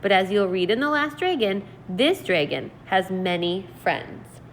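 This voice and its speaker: A woman teacher reading aloud and explaining in an expressive, deliberate, instructional way. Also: medium pitch, slight background noise. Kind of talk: read-aloud lesson, narrating and explaining vocabulary.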